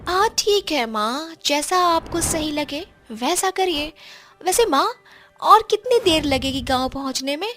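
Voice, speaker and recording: A jittery teenage girl in a cartoon horror tale, as a woman voices her, high and bright with slow, drawn-out lines. She sounds easily spooked, with a nervous edge. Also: lively intonation, faint music behind. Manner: high, jumpy, panicky when scared. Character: frightened teenage daughter Julie. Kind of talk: animated storybook dubbing.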